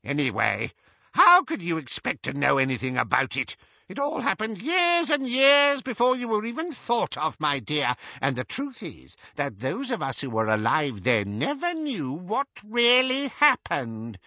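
A man voicing a haughty, gossiping great-aunt witch over a hundred years old in a storybook, shrill, screechy and imperious. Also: wildly swooping pitch, a little slow, bright, forceful tone, no room sound, lo-fi sound, exaggerated voice. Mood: gloating. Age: over 100. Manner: imperious, blunt, tipsy.

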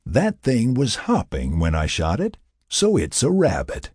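Man playing a gruff, husky, hot-headed settler. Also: mid-range voice, huge pitch swings, unhurried, darkish tone, dry recording, clean studio sound, pitched above the performer's own voice, exaggerated voice. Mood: cocky, folksy. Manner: folksy, argumentative. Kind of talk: audiobook character dialogue.

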